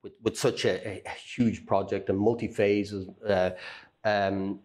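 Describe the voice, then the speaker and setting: A man's voice: lowish voice, flat delivery, a little slow, a little mellow. A middle-aged man as a guest in a studio chat, talking casually with lots of fillers and repeated words, on a lapel mic. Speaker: guest HR consultant. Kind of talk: casual chat on business news. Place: indoor studio with brick wall.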